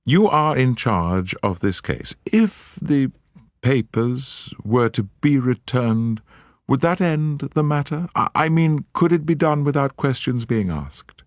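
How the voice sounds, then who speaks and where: A man's voice: medium pitch, sing-song, slow pace, no echo, clean studio sound, pitched above the performer's own voice. A man voicing a nervous, stammering noble lady. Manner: hesitant, stammering, breathless. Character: nervous, aristocratic English lady.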